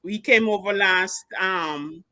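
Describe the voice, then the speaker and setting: A woman calls in and explains her case in a halting way, with many pauses and fillers. Her voice sounds bright and clear. The sound is dry and close. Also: mid-range voice, no echo. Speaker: caller. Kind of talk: call-in question.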